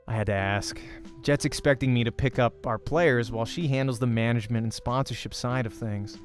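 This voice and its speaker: A man's voice: mid-range voice, brisk, faint music behind, voice pushed down, close to an everyday voice. A man voicing an anxious, weary young man in a visual novel, speaking in a subdued, dry and hesitant way. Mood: resigned. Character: anxious, weary young Western male aspiring team recruiter.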